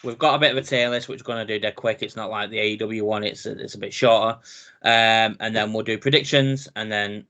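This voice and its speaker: A man in his thirties with a very deep voice, co-hosting a casual wrestling chat podcast. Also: a little fast. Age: 30s. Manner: relaxed, chatty, laughs easily. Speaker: podcast co-host.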